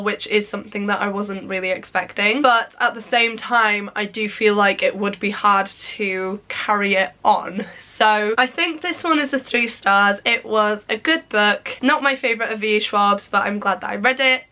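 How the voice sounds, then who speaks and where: A woman from Wales vlogging about books, chatty and upbeat, her voice hoarse from losing it. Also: medium pitch, pushed and bright. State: hoarse from lost voice. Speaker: book vlogger. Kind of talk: casual vlog to camera.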